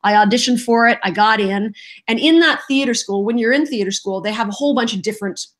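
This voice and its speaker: A middle-aged woman, a voice actress, chatting as a podcast guest about her start, telling stories in a lively, self-mocking way, just over a cold. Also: on the high side, fast talker. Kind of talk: casual interview chat.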